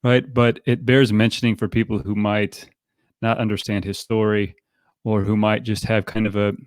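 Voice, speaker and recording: A man hosting a live podcast, explaining things in a measured way. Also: lowish voice, little pitch movement, no echo. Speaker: podcast host.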